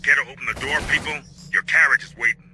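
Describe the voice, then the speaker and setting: Male military squad leader for a game: medium pitch, steady, sardonic, commanding, amid noticeable vehicle noise. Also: fast talker. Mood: wry, steady. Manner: commanding, wry. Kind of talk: video game dramatic dialogue.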